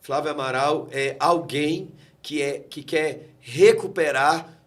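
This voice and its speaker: A man's voice: a little high, takes it slowly, fairly bright tone. A man, a guest pastor giving an emotional testimony and quoting scripture with preacherly fervor. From Brazil. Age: 40s. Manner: fervent, preacherly, quotes scripture. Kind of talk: emotional testimony in a talk interview.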